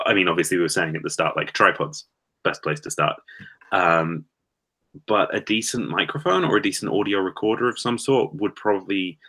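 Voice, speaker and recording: A man's voice: low-pitched, bright, forceful tone. A man chatting on a livestream, offering thoughtful, hedging advice. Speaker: guest filmmaker. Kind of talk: casual group chat.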